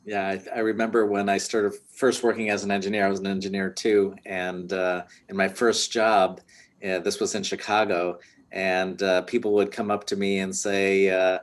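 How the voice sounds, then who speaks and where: A man's voice: low voice, level tone, moderate pace. A middle-aged man from the American Midwest, interviewing a guest in a friendly, easygoing way with fillers. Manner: friendly, laughs easily, fillers. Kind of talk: interview conversation.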